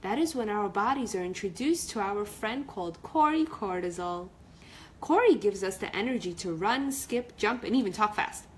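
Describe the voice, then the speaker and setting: A woman's voice: medium pitch, lively intonation, normal speed, slight background noise. A woman storyteller reading a children's book and chatting casually on a livestream. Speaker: author and storyteller.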